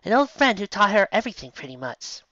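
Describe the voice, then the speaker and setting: A man's voice: sits high, very animated, fast talker, bright, forceful tone, dry recording, highs cut off, pitched above the performer's own voice, exaggerated voice. A man voicing an anxious young maid mare working in a palace, in a fan storybook reading. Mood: worried.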